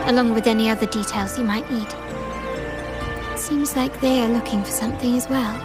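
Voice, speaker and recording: An actress voicing a poised, elegant young female agent in a video game, refined and measured with a coolly teasing manner. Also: on the high side, close to an everyday voice. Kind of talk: scripted video game character dialogue. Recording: music behind the voice.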